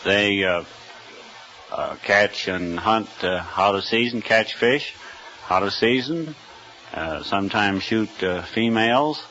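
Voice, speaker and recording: A man who is a game warden answers as a radio quiz contestant, earnest and stiff, halting with many uhs. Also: fairly low pitch. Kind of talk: hesitant guest answers. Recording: dull archive radio recording.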